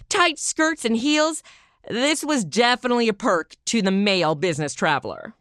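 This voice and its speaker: Animated, high-voiced American woman podcast host. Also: clear, resonant tone, dry recording, clean studio sound. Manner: conversational storyteller, wry asides, rhetorical questions. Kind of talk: scripted informal history narration.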